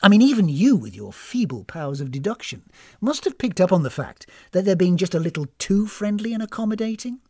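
A woman voicing a suspicious, sharp-tongued woman traveller in a storybook, snappish and sardonic. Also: medium pitch, big pitch swings, brisk, no room sound, studio quality, voice pushed up. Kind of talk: storybook character voice.

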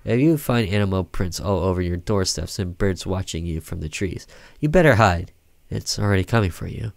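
A man's voice: deep voice, lively intonation, normal speed, creaky, no echo. A man voicing an ominous documentary announcer who gives grave warnings in a horror game. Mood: foreboding.

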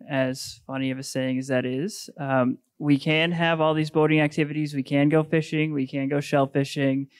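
A man in his thirties with a gravelly yet smooth voice talks to a forum in a flat, even tone. His delivery is casual and encouraging. The sound is dry and close. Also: mid-range voice, dry recording. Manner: casual, encouraging, many fillers. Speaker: waterkeeper. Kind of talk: public forum presentation.

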